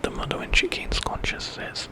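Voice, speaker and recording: A man telling a bedtime story in ASMR style, in a breathy whisper and an informal, conversational way. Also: moderate pace, low-quality recording. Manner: informal retelling with quoted dialogue.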